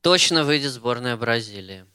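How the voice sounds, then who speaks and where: Dry-joking man with a bright voice. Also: medium pitch, animated, moderate pace, clear, resonant tone, no echo. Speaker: guest. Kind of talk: casual chat about football.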